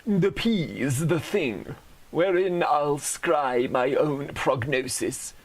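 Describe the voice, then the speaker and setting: A man's voice: on the high side, slow pace, slightly rough. A man reading comic poetry theatrically, with a mock-grave, declamatory manner. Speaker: poet reading own poems.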